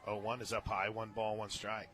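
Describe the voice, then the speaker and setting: A man doing live play-by-play softball commentary on the radio, calling each play in short clipped phrases. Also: lowish voice, completely flat delivery, moderate pace. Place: outdoor ballpark radio booth. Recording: outdoor crowd noise behind the voice.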